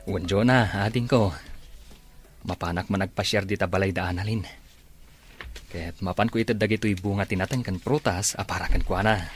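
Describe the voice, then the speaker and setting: A man's voice: low voice, moderate pace, a little hiss or hum, natural voice. A man with a Filipino accent playing an earnest, polite young suitor in an Ilocano audio drama.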